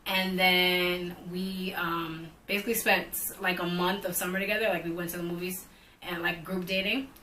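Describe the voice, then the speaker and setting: A woman's voice: lowish voice, moderate pace, a little hiss or hum, low-quality recording. A young woman co-hosting a couple's vlog, telling a storytime casually and animatedly, with lots of "like". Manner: animated, heavy use of "like", rising excitement. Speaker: vlog co-host.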